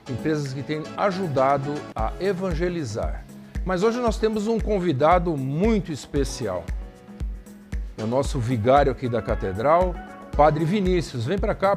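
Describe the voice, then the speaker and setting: A man hosting a church talk show, welcoming guests and asking questions with short interjections. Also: mid-range voice. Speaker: program host. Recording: with music and crowd behind. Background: music and audience noise.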